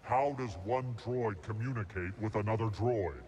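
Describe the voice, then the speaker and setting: A man voicing a stern, merciless combat droid in a video game, clipped and deadpan through a robot filter. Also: on the low side, normal speed, in a large hall. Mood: cold, deadpan. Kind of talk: video game character dialogue. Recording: game capture with faint noise.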